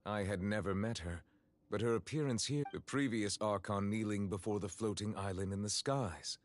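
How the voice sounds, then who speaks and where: A man voicing a grave, courteous judge in a video game, speaking formally and deliberately. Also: on the low side, lightly acted. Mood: pensive. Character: grave, courteous, dignified male judge of measured temper.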